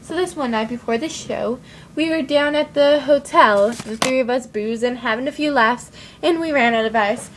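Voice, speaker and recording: A woman playing a stage performer who killed her sister and husband, telling it in a brisk, showy way. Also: sits high, background noise, close to an everyday voice.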